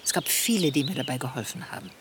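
A woman's voice: very deep voice, animated, quick pace. A woman playing a generous host of a garden refuge, speaking reflectively and earnestly in a radio drama interview. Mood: earnest, fulfilled. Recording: noisy outdoor ambience with birds and insects.

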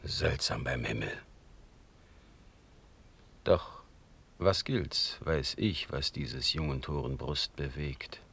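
An actor playing a commanding, sceptical prince-elector in a classical verse radio drama, with a weighty, authoritative manner. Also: male voice, very deep voice, slow, creaky, pauses often, fairly bright tone, breathy edge, exaggerated voice. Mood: musing, curious.

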